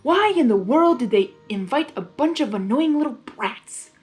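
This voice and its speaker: A woman's voice: sits high, expressive, normal speed, darkish tone. A woman voicing a sardonic, mischievous male cartoon lord of chaos in a dry, scornful manner. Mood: annoyed.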